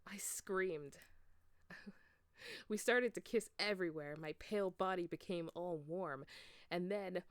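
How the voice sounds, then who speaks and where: A woman reading a story aloud in an expressive, theatrical way. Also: on the low side, slow pace, pauses often, dry recording, studio quality. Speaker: amateur fanfiction reader.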